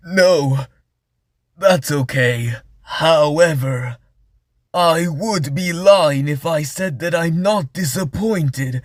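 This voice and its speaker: A man's voice: on the high side, fairly bright tone, no echo, studio quality, full character voice. A man voicing a theatrical young male troupe star in an anime fan dub, grandiose and declamatory.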